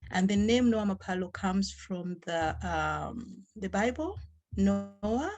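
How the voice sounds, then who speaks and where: A woman from Zambia with a Zambian accent gives unscripted interview answers as a charity founder, haltingly, often repeating and rephrasing. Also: medium pitch, expressive.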